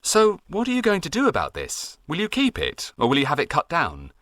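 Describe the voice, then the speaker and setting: A man's voice: fairly high pitch, wildly swooping pitch, fairly quick, fairly bright tone, no room sound, studio quality, voice pushed up. A man voicing a polite, curious visitor who asks questions plainly.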